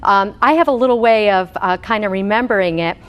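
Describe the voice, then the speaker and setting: A woman's voice: medium pitch, fairly quick, smooth. A woman dietitian hosting an interview, asking questions in a friendly way with many fillers. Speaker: dietitian and host.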